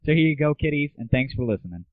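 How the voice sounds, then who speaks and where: A man's voice: animated, fast talker, dry recording. An American man hosting a casual, opinionated audio show in an irreverent, slangy way. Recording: muffled, tinny low-fidelity 1990s internet audio.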